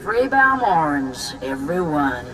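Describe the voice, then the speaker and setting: Fierce resistance fighter, widely swinging voice. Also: female voice, sits low, big pitch swings, slow pace, a bit bright, recorded from a distance, soft background music, full character voice, rough recording. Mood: resolute, stoic. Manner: blunt, fierce, hard-bitten. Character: tough, proud, battle-hardened older woman resistance fighter. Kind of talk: video game cutscene dialogue.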